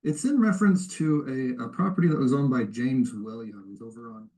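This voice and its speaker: A man's voice: medium pitch, normal speed. Polite, tentative man making calls. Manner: polite, tentative, soft-sell. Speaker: cold caller offering help claiming surplus funds. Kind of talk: informal phone conversation. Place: recorded phone call played back in a lesson.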